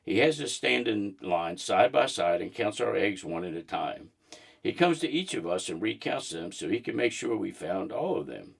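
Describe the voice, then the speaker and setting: A man reading a children's book aloud in an expressive storybook narration style. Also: lowish voice, moderate pace, creaky, breathy edge.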